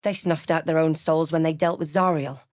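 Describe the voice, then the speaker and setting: A woman voicing a wary, composed adventurer heroine for a fantasy video game, with measured, dramatic delivery. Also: sits low, steady tone, darkish tone, no room sound, studio quality, lightly acted. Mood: watchful, measured.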